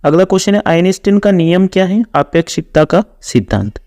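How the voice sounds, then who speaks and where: Male exam coach, higher-pitched voice, instructional question-and-answer delivery, very dry recording. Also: big pitch swings, a little fast, a little mellow, no room sound. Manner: instructional, repeats answers and stock transition phrases. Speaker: exam coach, online teacher. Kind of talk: reading out quiz questions and explaining answers.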